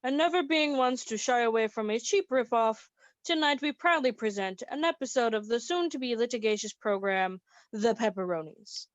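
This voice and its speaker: A woman's voice: on the high side, moderate pace, no echo. A woman narrator reading a scripted, mock-formal comedy introduction to a radio play, on a dull, dry lo-fi recording.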